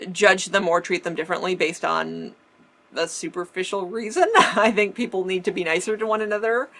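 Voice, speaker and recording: A woman's voice: on the low side, creaky, a bit bright. A woman from Canada with a slight Canadian accent and a faint leftover lisp, chatting through a casual question-and-answer video with frequent laughs. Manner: chatty, laughs often, restarts words. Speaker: vintage-style vlogger. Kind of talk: casual Q&A chat.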